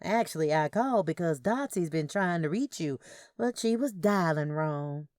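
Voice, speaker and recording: A woman's voice: deep voice, expressive, normal speed, a little mellow, no room sound, clean studio sound. A woman voicing a dramatic, whiny, exasperated pregnant sister in an audio story. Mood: defiant, exasperated.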